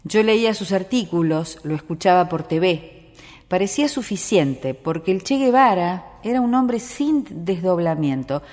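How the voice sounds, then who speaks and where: A woman, reading event notices and texts for a radio program in a scripted, even delivery. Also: on the low side, moderate pace, no echo. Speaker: radio announcer.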